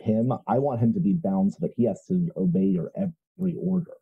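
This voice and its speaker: A man playing a tabletop roleplaying game, chatting and narrating his character in a halting, self-correcting way. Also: on the low side, sing-song, gravelly, husky. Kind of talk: casual chat and character narration. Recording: slightly dull, muffled audio.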